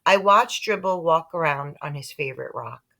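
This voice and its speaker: A woman narrating a children's book aloud in an expressive storytelling style. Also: low-pitched, normal speed, gravelly. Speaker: storybook reader.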